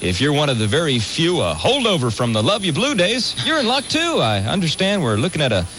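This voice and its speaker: A man with a bright, fairly high voice and extremely lively intonation. He banters breezily and jokes in a casual radio style. The recording is an old AM aircheck with faint noise. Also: brisk. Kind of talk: casual sports and weather banter.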